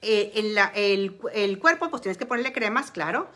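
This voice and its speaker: Bright chatty woman vlogging. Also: mid-range voice, animated, moderate pace. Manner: chatty, frequent fillers, shows products. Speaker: beauty and wellness vlogger. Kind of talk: casual product talk to camera. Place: small room at home, indoors. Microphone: phone camera at arm's length.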